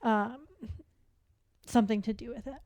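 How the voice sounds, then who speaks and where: Higher-voiced woman, halting and reflective. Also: fairly even intonation, a little mellow, no room sound, clean studio sound. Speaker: podcast guest, competitive athlete and coach. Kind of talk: candid casual interview chat.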